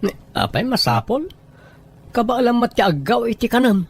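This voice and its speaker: A woman's voice: a little high, very animated, normal speed, husky, voice pushed up, exaggerated voice. A woman with an Ilocano Filipino accent voicing a plain-spoken, mildly exasperated husband in a homespun audio drama. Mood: puzzled, exasperated. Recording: faint background noise.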